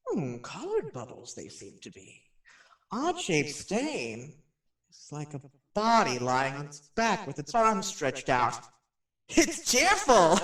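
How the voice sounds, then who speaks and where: A man's voice: fairly high pitch, a bit bright. An actor playing a nervous, chatty radio personality who rambles and stammers his way through a haunted house in a radio drama. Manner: jittery, stammering, rambling, self-interrupting. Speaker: radio host. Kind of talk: radio drama acting, rambling live monologue. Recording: roomy, distant microphone.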